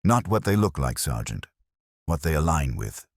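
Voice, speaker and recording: A man voicing a calm, shrewd police inspector who speaks gently, formally and deliberately. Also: very deep voice, slightly rough, no echo, studio quality, exaggerated voice. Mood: calculating.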